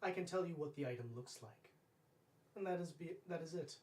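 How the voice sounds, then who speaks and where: A man in his thirties running a tabletop roleplay as game master, speaking formally and deliberately in character. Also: fairly high pitch, a little mellow. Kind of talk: tabletop roleplay narration, speaking as a fae ruler. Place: indoor room, live-streamed tabletop game. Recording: roomy, distant mic, faint noise.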